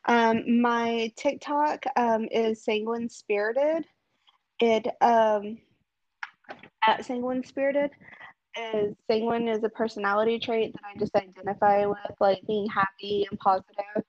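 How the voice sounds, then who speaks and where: Female interview guest, fairly high voice, unsure and self-deprecating, dry lo-fi phone call. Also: fairly even intonation, a little slow, dry recording. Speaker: interview guest, body-paint content creator. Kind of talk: casual interview answers. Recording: dull, lo-fi phone call audio.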